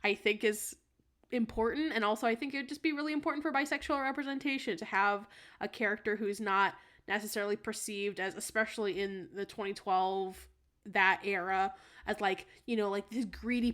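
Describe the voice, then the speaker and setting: A woman's voice: medium pitch, fairly bright tone. Young American woman, casual podcast panelist. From United States. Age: young adult. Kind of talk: casual argumentative podcast talk.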